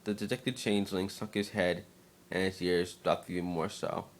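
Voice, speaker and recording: A man narrating fan fiction as a dramatic reading, slightly halting and stumbling over words now and then. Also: sits low, flat delivery, slight background noise.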